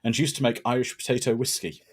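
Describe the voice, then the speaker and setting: A man's voice: mid-range voice, fast talker, breathy edge. A man co-hosting a casual film watch-party commentary, telling stories with wry asides. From moved to Wales as a child. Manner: chatty, storytelling, wry asides.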